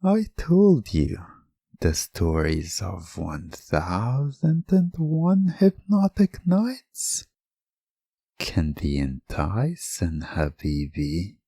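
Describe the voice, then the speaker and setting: A hypnotist narrator speaking in a soothing, commanding, repetitive way, often slipping into a breathy whisper in an ASMR style. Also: low voice, huge pitch swings, drawn-out words, warm tone, dry recording. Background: music.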